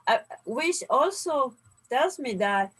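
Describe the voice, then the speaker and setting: A woman's voice: high voice, slow, breathy edge. A woman who grew up in Serbia and Peru, telling stories animatedly in casual conversation. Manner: storytelling, animated, laughs often. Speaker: co-host, inventor.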